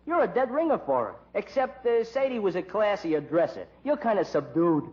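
A man's voice: high-pitched, sing-song, moderate pace, darkish tone. A man acting a shady, wisecracking small-time crook and informant in a radio crime comedy, with slangy, streetwise talk. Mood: wary wisecracking. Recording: dull lo-fi recording.

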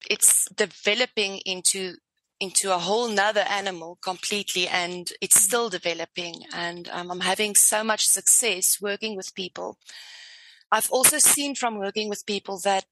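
A woman's voice: mid-range voice, steady tone, moderate pace, bright, forceful tone, no room sound, rough recording. A woman from South Africa with a South African accent, a native Afrikaans speaker, talking effusively as a guest on an interview podcast. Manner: effusive, enthusiastic, searching for words. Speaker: spiritual life coach guest.

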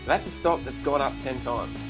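A man's voice: medium pitch, moderate pace, over music. A man co-hosting a finance podcast, introducing the show and a guest with many ums. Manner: hesitant, many ums and uhs. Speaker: podcast co-host.

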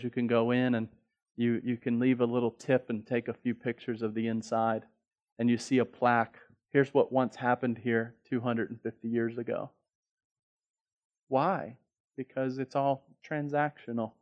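A middle-aged man preaches at an average pitch. He talks like he is telling stories to friends and often stumbles over repeated words. He builds to loud, emphatic peaks. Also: muffled recording. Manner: conversational storytelling with frequent restarts, building to emphatic peaks. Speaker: pastor. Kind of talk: sermon.

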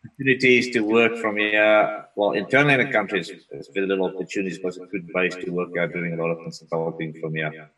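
A man whose first language is Afrikaans, a software consultant talking as a guest on a remote interview in a relaxed, rambling way. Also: low-pitched, fast, recorded from a distance, poor audio. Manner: relaxed, rambling with frequent restarts. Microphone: video call.

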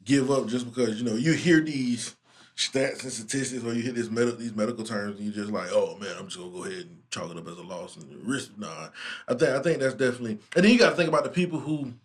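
A man hosting a casual podcast chat, talking in loose slang with a relaxed drawl. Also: mid-range voice, fast. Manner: laid-back, drawling slang, frequent laughs. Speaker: podcast host.

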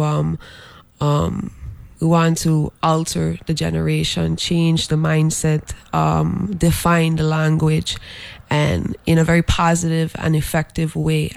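A man from Saint Croix, a fashion designer being interviewed, who talks in a halting way with many filler pauses. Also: a little high, vocal fry, darkish tone, no echo. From Saint Croix, US Virgin Islands. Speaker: guest, fashion brand designer. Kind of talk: interview promoting an event.

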